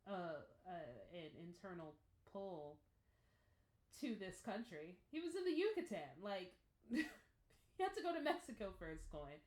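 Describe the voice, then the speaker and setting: A woman giving a casual, expressive spoken reaction and review, emphatic with thoughtful pauses. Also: mid-range voice. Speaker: reaction show host. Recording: echoey room.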